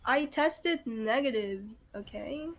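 A woman with a raspy, hoarse voice from being sick, chatting casually with friends while gaming. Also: high voice, takes it slowly. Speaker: streamer playing with friends.

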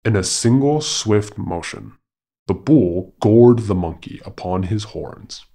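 A man tells an animal fable in a formal, dramatic storytelling style. His voice sits on the low side, and he takes his time, delivering each phrase at a very measured pace. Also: animated, gravelly, dark tone. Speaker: podcast narrator.

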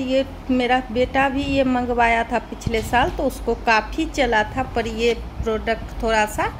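A middle-aged woman, talking casually to viewers as a vlogger narrating an unboxing. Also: a little high. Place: indoor room at home. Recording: clearly noisy home phone recording. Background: clear background noise.

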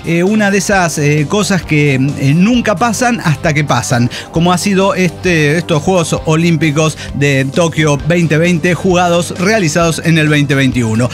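A man hosting a podcast in lively radio talk, with a theatrical announcer delivery and joking asides. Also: a little high, measured pace, hardly any pauses. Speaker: podcast host. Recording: music playing behind the voice. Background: background music.

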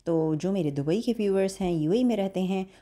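Mellow-voiced woman narrating a food vlog. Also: mid-range voice, brisk. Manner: calm, friendly review talk with English words mixed in. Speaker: food vlogger. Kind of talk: scripted voice-over narration.

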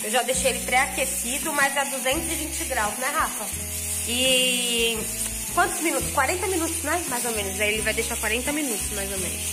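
A woman's voice: high-pitched, brisk, over music. A woman in her thirties from Brazil, chatting casually with viewers while filming in her home kitchen on a phone. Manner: chatty, addresses viewers directly. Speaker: vlogger. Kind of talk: casual cooking vlog narration.

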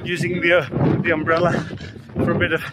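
A man's voice: a little high, completely flat delivery, fairly quick. A man in his forties, chatting casually as he films a travel vlog on a windy coast and a ferry deck, holding an action camera. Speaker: travel vlogger. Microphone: handheld action camera. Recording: loud wind and boat engine noise.

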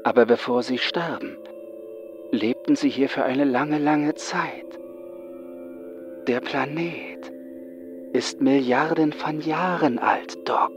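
A man voicing a frightened, bitter young psychiatric patient in a horror audiobook, speaking intensely with dramatic pauses. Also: mid-range voice, highs cut off, music in the background, pitched above the performer's own voice, lightly acted. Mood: haunted.

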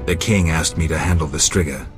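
A stoic fantasy game monster hunter, with an extremely low voice. He is battle-weary and deadpan, giving clipped lines with little feeling. Music plays behind him. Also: fairly quick. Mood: blunt, businesslike. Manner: terse, deadpan. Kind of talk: video game dialogue acting.

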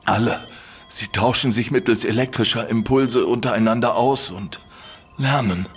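Guy acting a desperate infected man, husky, stumbling, voice cracks up high when he gets worked up. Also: soft background music, natural voice. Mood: desperate urgent. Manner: halting, stumbling pleas, pitch jumping when agitated. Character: infected man fearing madness, urgent and desperate. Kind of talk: radio play acting.

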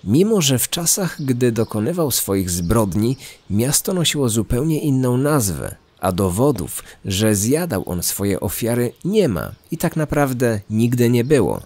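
A man's voice: mid-range voice, sing-song, measured pace, hardly any pauses, darkish tone. A man reading scripted true-crime narration as the narrator of a podcast. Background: background music.

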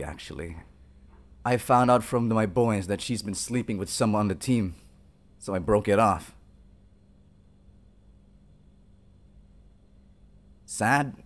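Lively young college jock, acted by a man. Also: fairly low pitch. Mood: subdued, candid. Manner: informal slangy bro talk with hesitations. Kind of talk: roleplay audio acting, casual chat to the listener.

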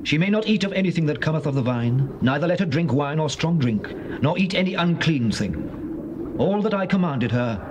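A man's voice: on the high side, animated, moderate pace, lo-fi sound, natural voice. A man playing a solemn, commanding angel in a dramatized scripture recording, with stately, declamatory speech. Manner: stately, declamatory, archaic phrasing. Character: solemn male angel of God, commanding and mysterious. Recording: noisy, hissy old cassette tape.

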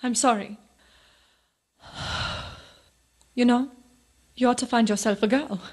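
Woman playing a sorrowful, guarded higher-voiced woman. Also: steady tone, slow pace, a little mellow. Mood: sad, weary. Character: sorrowful, guarded Czech woman in postwar Vienna. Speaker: actor in radio drama. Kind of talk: radio drama acting.

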